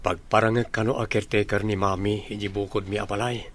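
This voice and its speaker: A man's voice: fairly low pitch, flat delivery, quick pace, fairly bright tone. A man playing a confident young soldier, a teasing son and suitor, in an Ilocano comedy radio drama. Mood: casual.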